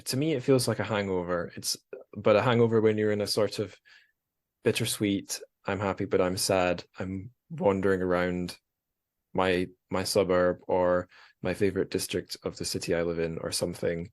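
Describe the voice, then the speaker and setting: A man's voice: sits low, fairly even intonation, moderate pace. A man in his thirties hosting a casual podcast, talking in an informal, reflective way with some swearing. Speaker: podcast host.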